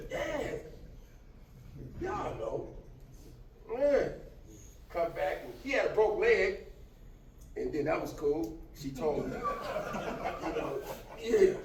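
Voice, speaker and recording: A man in his fifties giving a casual talk to a class, animated and often laughing as he jokes with the audience. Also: very high voice, takes it slowly, gravelly, pauses often, recorded from a distance. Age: 50s. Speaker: motivational speaker. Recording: echoey room, from afar, faint noise.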